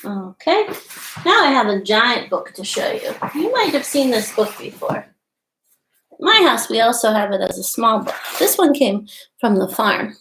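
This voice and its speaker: A middle-aged woman with a fairly high, very animated voice hosts storytime for young children. She explains things simply and reads picture books aloud. The sound is clearly echoey, as from a webcam in a room at home. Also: unhurried, a little hiss or hum. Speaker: storytime host. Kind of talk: casual show-and-tell and picture-book read-aloud for young children. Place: small home room with wainscot walls, indoors.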